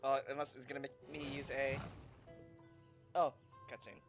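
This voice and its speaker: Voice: medium pitch, flat delivery, normal speed, music in the background. A gamer musing casually to themself while playing, in chatty commentary.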